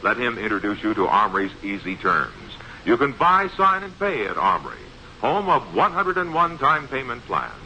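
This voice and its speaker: A man's voice: mid-range voice, huge pitch swings, normal speed, bright, forceful tone. A man reading a scripted 1960s radio commercial in an emphatic salesman's manner. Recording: dull, faintly noisy 1960s radio recording.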